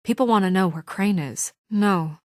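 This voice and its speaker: A woman's voice: medium pitch, moderate pace, a little mellow, no echo, clean studio sound, natural voice. An actress playing a young, no-nonsense fairy-tale administrator woman in a video game, speaking in a businesslike, urgent and matter-of-fact way. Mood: brisk, harried. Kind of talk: naturalistic video game voice acting.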